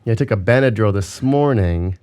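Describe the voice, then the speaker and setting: A man's voice: lowish voice, lively intonation, brisk, darkish tone, clean tone. A young man co-hosting a podcast in casual, crude, joking chat, drowsy and with a sore throat, on a desk mic with a pop filter. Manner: crude, joking, swears a lot. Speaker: podcast co-host.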